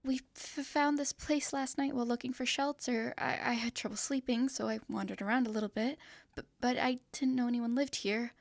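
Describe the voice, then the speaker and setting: A woman's voice: fairly high pitch, fairly even intonation, fairly quick, vocal fry, no room sound. A woman voicing a timid, apologetic young girl who stammers nervously. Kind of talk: fantasy audio roleplay.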